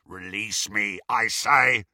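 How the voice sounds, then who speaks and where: A man voicing a crude, menacing male werewolf with a raspy growl, in an audiobook story. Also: lowish voice, slow, sharp tone, no room sound, clean studio sound, exaggerated voice. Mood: snarling threat. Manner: snarling, crooning menace.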